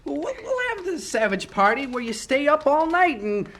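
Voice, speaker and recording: A sensible, friendly boy, fairly high in pitch with expressive swings. He is considerate and reasonable, acted in 1970s radio drama style. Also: moderate pace, heavily acted. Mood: tired, apologetic.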